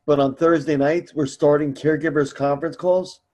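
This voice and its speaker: A man's voice: on the high side, fairly even intonation, moderate pace, darkish tone. A man speaking casually as host of a support group conference call, earnest, encouraging and a bit rambling.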